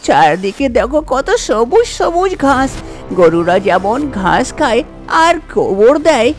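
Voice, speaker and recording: A scheming village mother-in-law in a cartoon folk tale, acted by a woman. She coaxes and insists with a lively, swinging melody in her voice, and music plays underneath. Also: fairly high pitch, measured pace. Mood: coaxing. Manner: coaxing, insistent.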